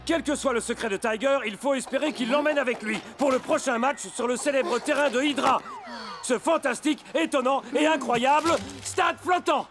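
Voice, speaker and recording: A hyped male cartoon sports announcer pitched extremely high. The delivery is grandiose and full of enthusiasm, with clear music underneath. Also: moderate pace. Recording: music behind the voice.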